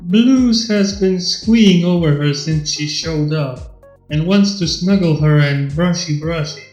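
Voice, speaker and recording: A man voicing a cool, world-weary robot rebel with a guarded, measured manner in a fan fiction reading. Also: fairly high pitch, a little mellow, echoey room, lo-fi sound, faint music behind.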